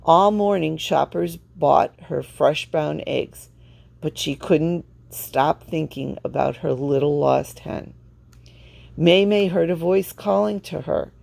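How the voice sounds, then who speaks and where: A woman reading a children's storybook aloud with expressive, deliberate phrasing. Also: low voice, gravelly. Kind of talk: read-aloud storybook narration.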